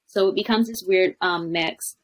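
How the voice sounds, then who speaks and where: A woman's voice: on the low side, moderate pace, a little hiss or hum. A young woman, a language coach, chatting casually on a video call from a home room, with lots of fillers. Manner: chatty, many fillers, laughs often. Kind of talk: casual interview chat.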